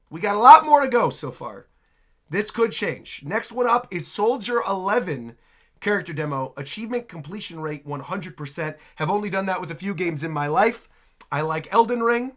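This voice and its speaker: A man hosting a casual, enthusiastic game reaction, excitable and prone to repeating phrases. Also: on the high side. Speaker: reaction video host. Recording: music playing behind the voice.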